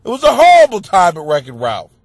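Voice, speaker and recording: A man and comic hosting a podcast, with a stuffed-up nose, telling stories in a profane, animated rant that breaks into shouting. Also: sits high, quick pace, no echo, studio quality. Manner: animated, swearing, bursts into shouting. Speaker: stand-up comic and podcast host. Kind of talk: profane comedic rant monologue.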